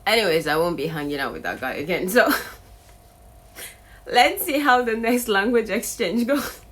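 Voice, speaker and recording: A woman's voice: mid-range voice, expressive, moderate pace, a bit bright. A young woman from Nigeria, chatting casually in a vlog and laughing often between lines, filmed on a phone outdoors near a road. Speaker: vlogger. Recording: noisy street recording with passing traffic.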